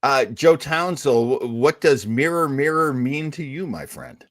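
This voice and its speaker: A man's voice: medium pitch, expressive, unhurried, a bit bright, no room sound. Male podcast host, close dry sound. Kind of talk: casual review discussion of a TV episode.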